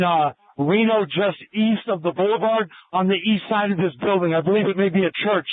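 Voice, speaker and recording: Male officer, high voice, urgent radio report, dry thin scanner sound. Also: no echo. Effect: police radio. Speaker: police officer. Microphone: two-way police radio. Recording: narrowband police scanner radio.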